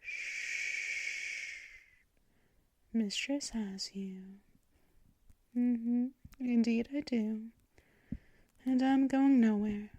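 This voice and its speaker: A doting, possessive vampire mistress, played by a woman, murmurs at a very slow, unhurried pace, often slipping into hushed whispers and soft shushing. Her voice sits a little high and is caught up close and dry, with no room around it, in the manner of an ASMR roleplay. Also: steady tone, no echo. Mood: soothing. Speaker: ASMR performer.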